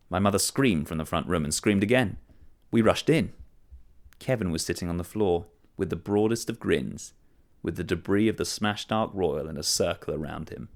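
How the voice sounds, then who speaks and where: A man reading a short story aloud, telling it in a measured, wry first-person voice. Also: sits low. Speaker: story reader. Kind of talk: scripted narration of a short story.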